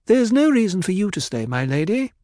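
A man's voice: high voice, very animated, fairly quick, dark tone, no echo, studio quality, voice pushed up. A man voicing a nervous, deferential court doctor. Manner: hesitant, apologetic.

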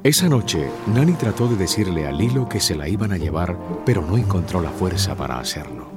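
Casting: male children's storyteller, deep, lively intonation, music bed. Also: a little fast. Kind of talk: scripted children's audio story narration.

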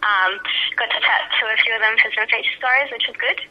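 High-voiced Australian woman on a phone line. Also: fast, heavy background noise. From Sydney, Australia. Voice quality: high-pitched. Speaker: rugby league journalist, podcast guest. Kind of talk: casual podcast chat.

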